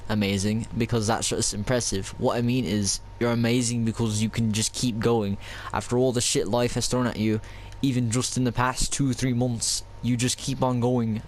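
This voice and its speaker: A man voicing a quiet, nostalgic former soldier while reading a story aloud. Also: lowish voice, slight background noise, lightly acted. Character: soft-spoken male ex-soldier, nostalgic.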